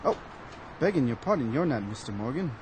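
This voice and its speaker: A man's voice: mid-range voice, very animated, fairly quick, a little mellow, a little hiss or hum, lightly acted, low-quality recording. An actor playing an eager, polite doorman in a radio drama. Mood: friendly. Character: friendly male doorman on a busy street.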